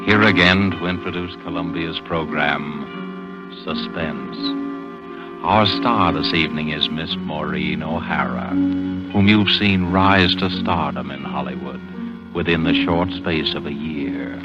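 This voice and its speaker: An ominous radio storyteller with an extremely deep voice. He narrates a vintage thriller gravely and deliberately, over prominent music on a dull old recording. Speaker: announcer and narrator. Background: dramatic music.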